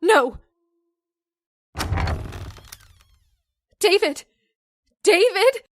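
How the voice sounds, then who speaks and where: A woman's voice: very high-pitched, animated, slow pace, slight background noise, heavily acted. A woman playing a frightened, guilt-ridden heroine in an old-time radio mystery, with a pleading, melodramatic delivery. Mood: frantic.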